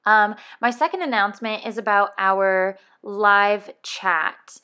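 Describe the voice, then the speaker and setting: A woman in her thirties hosting a podcast, speaking at an unhurried pace with an everyday mid pitch. She rambles in a chatty way and corrects herself with a laugh. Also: steady tone, sharp tone. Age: 30s. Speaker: podcast co-host. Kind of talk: casual podcast chat.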